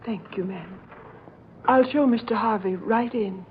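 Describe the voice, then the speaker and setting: An actress playing a timid, deferential housemaid with a meek, folksy manner, in 1940s radio drama style. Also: female voice, medium pitch, measured pace, lightly acted. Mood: pained. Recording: tinny, hissy vintage radio recording.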